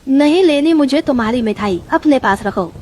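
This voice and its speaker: A woman's voice: high voice, animated, normal speed, noisy background. Woman voicing a boastful, greedy cartoon crow. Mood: indignant. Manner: boastful, gloating. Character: lazy, greedy, sly male crow. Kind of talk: Hindi cartoon story voice acting.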